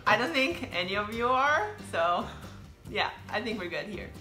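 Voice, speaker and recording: A woman's voice: mid-range voice, animated, moderate pace, bright, forceful tone. A young Brazilian woman with a Brazilian accent, chatting casually as a vlogger, explaining things with fillers. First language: Brazilian Portuguese. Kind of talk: casual chat. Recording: echoey room with faint music.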